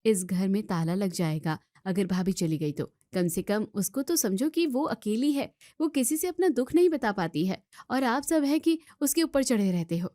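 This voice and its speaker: A woman's voice: medium pitch, expressive, moderate pace, hardly any pauses, mellow, dry recording, clean studio sound, close to an everyday voice. A woman playing an outspoken young student in a family drama, scolding and arguing with emphatic questions. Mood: firm, reproachful. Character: outspoken young student daughter defending her sister-in-law. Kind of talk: Hindi family drama voice acting.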